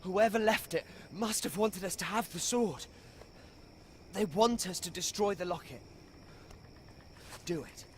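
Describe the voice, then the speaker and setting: An actor playing an earnest, determined young British wizard, speaking urgently in a dramatic game scene. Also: male voice, high-pitched, measured pace, pauses often, a little hiss or hum. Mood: solemn, determined. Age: young adult. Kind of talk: dramatic film-style game acting.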